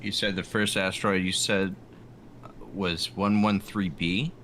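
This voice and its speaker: A man's voice: low voice, measured pace. A man playing a tabletop game, talking casually in a tentative, questioning way. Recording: noisy room with steady background ambience.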